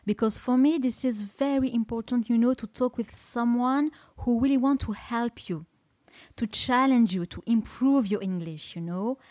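French lady podcast host chatting, higher voice, strong on emphasis, really dry audio. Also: moderate pace, warm tone, no echo. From France. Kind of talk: casual interview conversation.

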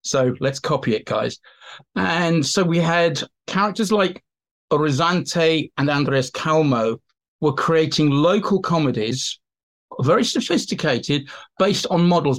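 A man's voice: on the high side, measured pace. A man explaining his specialist subject as an interview guest, informal, enthusiastic and given to digressions. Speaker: expert guest, teacher and writer.

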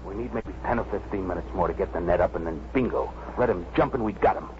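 Deep, no-nonsense fire chief acted by a man, brisk and blunt, hissy 1950s radio recording. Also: muffled recording, lightly acted. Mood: gruff, businesslike. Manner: blunt, colloquial. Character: brisk, practical fire chief giving orders. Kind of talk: 1950s radio drama acting.

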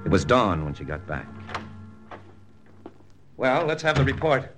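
A man's voice: medium pitch, big pitch swings, measured pace, music in the background. An actor in a 1940s radio drama playing an American spy officer who narrates his story, terse and brooding. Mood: clipped. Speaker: narrator and lead character.

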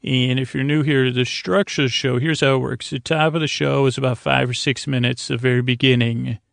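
A man hosts a sleep podcast in a gravelly, creaking voice that barely moves in pitch. He meanders through his monologue haltingly, in a lulling, soothing manner. The sound is dry and close, with no room to it. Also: steady tone, no room sound. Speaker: host of a bedtime podcast. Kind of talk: rambling, meandering monologue for falling asleep.

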